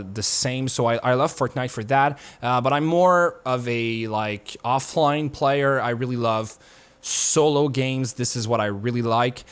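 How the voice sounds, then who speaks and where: The voice is a young man's, at an everyday pitch, talking to camera in his home studio. He sounds relaxed and loose, wanders from point to point and drops the odd swear word. It plays as an unscripted chat with viewers. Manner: relaxed and rambling, swears casually. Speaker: YouTuber.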